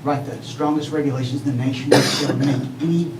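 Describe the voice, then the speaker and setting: A man giving an urgent, earnest public comment at a city council meeting, halting with fillers as he goes. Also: medium pitch, steady tone. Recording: echoey hall, distant mic, noisy.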